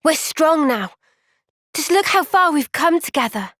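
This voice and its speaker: A woman voicing an earnest, kind young woman soldier in a video game, speaking gently and sincerely in a thoughtful, resolute way. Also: high-pitched, expressive, unhurried, no echo, studio quality. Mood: resolved, reassuring. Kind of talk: video game cutscene voice acting.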